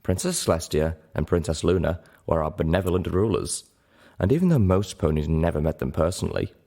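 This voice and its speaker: A man's voice: deep voice, expressive, a little fast, gravelly, dark tone, full character voice. A man voicing a guarded, thoughtful grey female unicorn telling her tales in a storybook reading.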